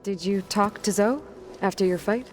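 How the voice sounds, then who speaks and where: A woman's voice: medium pitch, moderate pace, soft background music, lightly acted. A woman playing a young, determined outcast hunter in a video game, speaking plainly with terse, dry humor. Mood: subdued. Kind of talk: naturalistic video game acting.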